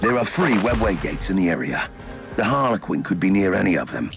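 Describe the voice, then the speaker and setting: A man playing a composed, knowing Inquisitor in a video game, speaking in a measured, dryly wry and articulate way. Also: low-pitched, sing-song, creaky, exaggerated voice. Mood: grave, explanatory. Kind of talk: dramatic video game dialogue. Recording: music behind the voice.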